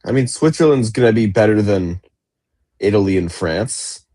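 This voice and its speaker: A man's voice: fairly low pitch, lively intonation, moderate pace, mellow, no echo, studio quality. A man co-hosting a podcast, talking sports in a loose, rambling way with lots of fillers. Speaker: podcast co-host. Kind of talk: casual sports chat.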